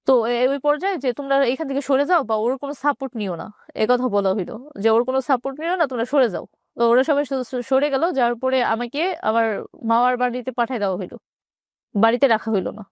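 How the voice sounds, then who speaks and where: A woman with a Bangladeshi accent telling her personal story in an interview, haltingly and with repeated phrases. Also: a little high, no echo, studio quality.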